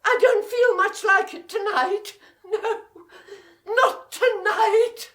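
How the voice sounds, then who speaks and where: A fluttery genteel older sister. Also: female voice, extremely high pitch, steady tone, slow, a bit bright, heavily acted. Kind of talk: 1980s radio drama acting.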